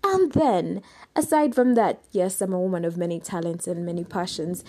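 A young adult woman with a Ugandan accent, hosting a solo podcast and explaining things in an unhurried way with some hesitant fillers. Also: on the low side, darkish tone, breathy edge, no echo. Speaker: podcast host.

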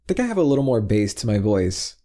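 Young man, casual podcast chat, mellow dark tone, rambling, headset mic. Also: fairly low pitch, sing-song, quick pace. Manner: rambling, many "like" fillers, swears freely. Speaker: podcast co-host.

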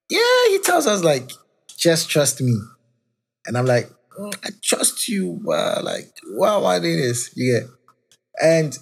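A man hosting a podcast, casually reflecting on faith in a rambling, self-correcting way. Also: slightly rough. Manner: talks of his high-pitched voice. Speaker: podcast host.

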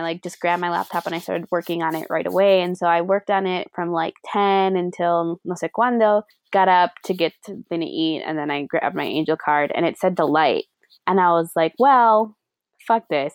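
A young woman co-hosting a casual podcast, chatting in a rambling, animated way with lots of fillers and a few Spanish words mixed in. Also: fairly low pitch, no room sound. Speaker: podcast co-host. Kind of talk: casual chat. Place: lives in Madrid.

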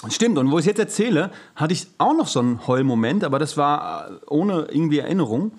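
A man's voice: on the high side, big pitch swings, a little fast, slightly rough. A man co-hosting a podcast, chatting casually in a loose, slangy way with trailing asides. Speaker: podcast co-host. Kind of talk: casual chat.

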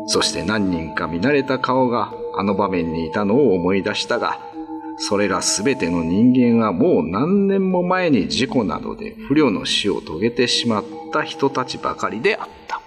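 A man's voice: on the low side, sing-song, moderate pace. A man narrating a ghost tale for a radio drama, in a dramatic scripted storytelling style. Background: music.

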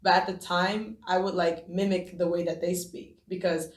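A woman's voice: fairly low pitch, little pitch movement, moderate pace, reverberant. A woman in her twenties from Japan, a podcast guest telling her story in a casual, rambling way with many restarts. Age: 20s.

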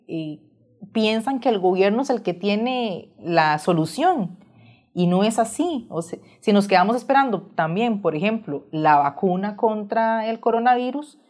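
A woman hosts a podcast conversation. Her voice sits at a medium female pitch, and she speaks conversationally as she guides the discussion. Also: darkish tone. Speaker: podcast host.

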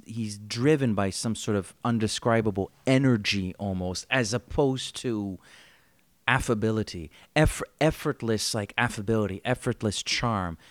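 A man's voice: lowish voice, no room sound. A man co-hosting a podcast in casual chat, wry and chatty, thinking aloud with fillers. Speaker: podcast co-host.

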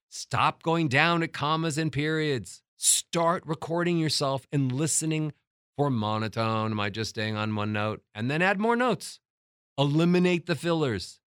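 A middle-aged man teaching as a voice coach, emphatic and demonstrating how to change loudness and pitch. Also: expressive, a little slow, clear, resonant tone, no room sound, clean studio sound. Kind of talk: motivational teaching talk.

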